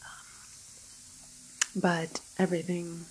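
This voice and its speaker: A woman doing an ASMR roleplay as a calm, apologetic doctor, speaking in whispers and hushed, halting explanations. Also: on the low side. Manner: hesitant, halting, gentle clinical explaining. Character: calm, apologetic female doctor in a quarantine facility. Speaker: ASMR performer playing a doctor.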